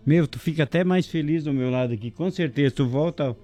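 A man hosting a casual local radio show, jokey and chatty, giving shout-outs to listeners and sponsors. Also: on the high side, brisk. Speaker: radio host.

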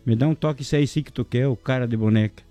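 Chatty radio host. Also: male voice, medium pitch, quick pace, dry recording, faint music behind. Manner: chatty, jokey, shouting out listeners and sponsors. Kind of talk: casual local radio chat.

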